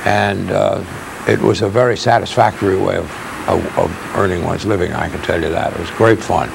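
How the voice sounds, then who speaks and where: Older American male, veteran stage and radio actor, creaky low register, unscripted reminiscence, faintly noisy recording. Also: fairly even intonation, moderate pace.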